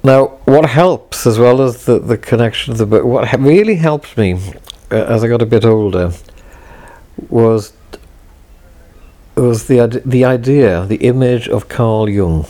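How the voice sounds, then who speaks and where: A middle-aged man from Wales reflecting on his past in a halting, wry way, full of restarts. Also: medium pitch, warm tone. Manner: halting, many restarts and self-corrections, wry. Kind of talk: reflective personal talk.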